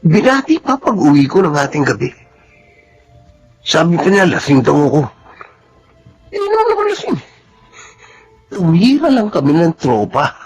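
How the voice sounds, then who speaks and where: Noli, a spoiled scheming young heir. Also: male voice, on the high side, breathy edge, exaggerated voice. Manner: sulky, irritable and scheming. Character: spoiled scheming young man, rich employer's son. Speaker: radio drama actor.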